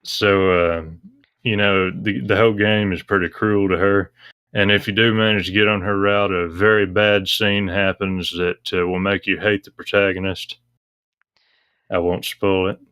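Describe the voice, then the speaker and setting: A man with a low, bright voice explains things in a casual, halting way. His pitch moves little, and the sound is dry and close. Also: little pitch movement, clean tone, no echo. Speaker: discussion panelist on a visual novel talk stream. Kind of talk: casual discussion.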